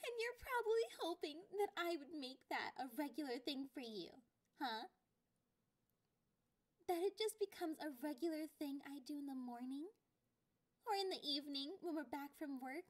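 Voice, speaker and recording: A woman voicing a clingy, possessive catgirl girlfriend in an ASMR roleplay, with a playful, condescending and drawn-out delivery. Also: high-pitched, expressive. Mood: mocking.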